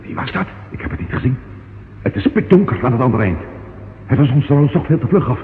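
An actor playing an alert, puzzled police detective who reasons aloud in an old radio crime drama. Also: male voice, medium pitch, big pitch swings, quick pace. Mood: agitated. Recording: tinny, noisy old radio recording.

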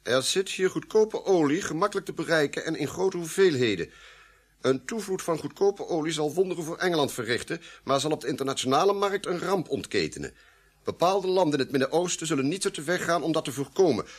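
A pompous, commanding police inspector in a radio play. His voice is slightly husky and swings animatedly as he formally asserts his authority. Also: on the high side, expressive, a little fast. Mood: lecturing, authoritative. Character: officious, commanding male Scotland Yard police inspector. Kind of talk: Dutch radio drama acting.

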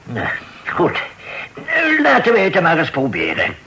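An older man playing a cautious, fussy, old-fashioned merchant boss in a radio drama, husky and hesitant, drawing out his deliberations. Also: fairly high pitch, wildly swooping pitch, unhurried, sharp tone, exaggerated voice, poor audio. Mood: conceding.

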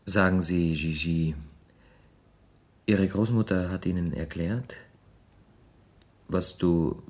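An actor playing a worldly, impatient suitor in love in a German radio play, with an urbane but halting manner. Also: male voice, low voice, darkish tone. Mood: hesitant, courteous.

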